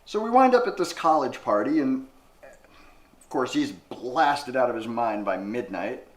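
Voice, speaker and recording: A man's voice: medium pitch, sing-song, unhurried. A man acting a middle-aged, wounded brother confronting a betrayal in a screen drama. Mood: bitter, restrained. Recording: roomy, with faint music underneath.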